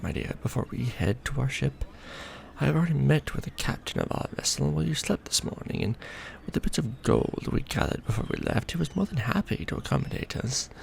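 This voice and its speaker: A man playing a centuries-old vampire lord in a romantic ASMR roleplay, proud and doting, speaking formally with theatrical, measured phrasing. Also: medium pitch, gravelly, slight background noise, exaggerated voice.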